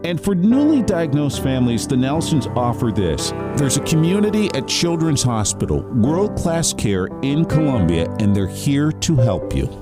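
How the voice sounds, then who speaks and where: A man narrates a scripted story in a medium-pitched voice. His intonation rises and falls widely, and guitar music plays clearly behind him. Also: very animated, normal speed, few pauses.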